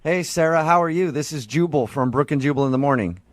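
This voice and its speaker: A man hosting a morning radio show, teasing a caller and joking briskly. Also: a little high, no room sound. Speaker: radio host.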